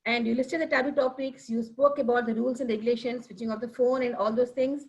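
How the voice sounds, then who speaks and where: High-voiced woman evaluating on a call. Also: steady tone, quick pace, lo-fi sound. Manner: warm, encouraging, chatty with frequent fillers. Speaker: general evaluator at a speaking club meeting. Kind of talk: online club meeting evaluation. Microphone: video call.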